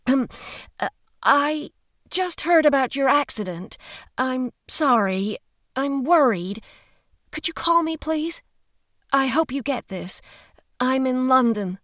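An uneasy, reluctant woman voiced by a female narrator talks hesitantly in audiobook dialogue. Her voice is lifted in pitch, with lively movement and a dry, close sound. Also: takes it slowly, pauses often, no echo, exaggerated voice. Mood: nervous, hesitant.

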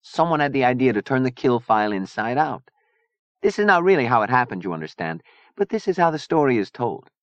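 A man performs a combative young woman, hot-tempered and imperious. The voice rides high and swings widely in pitch, in a close, dry audiobook recording. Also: very animated, fairly quick, no room sound, lo-fi sound, lightly acted. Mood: explaining. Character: fierce, hot-tempered young Mexican woman gang leader. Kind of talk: audiobook character dialogue.

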